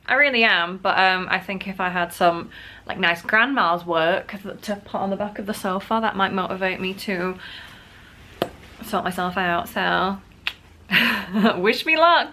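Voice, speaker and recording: A woman's voice: medium pitch, pushed and bright. Chatty wheezy sick woman. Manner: chatty, self-mocking, many "like" fillers. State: sick, wheezy with a bad cough. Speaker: vlogger. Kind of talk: casual chat to camera.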